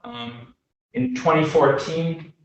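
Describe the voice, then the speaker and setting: A man's voice: on the high side, lively intonation, takes it slowly, gravelly, muffled recording, faint music behind. American man lecturing in a very echoey room. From Boston, United States. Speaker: guest lecturer, development consultant. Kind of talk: informal slide lecture with audience questions. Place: echoey meeting room before a small audience. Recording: very echoey room, distant microphone.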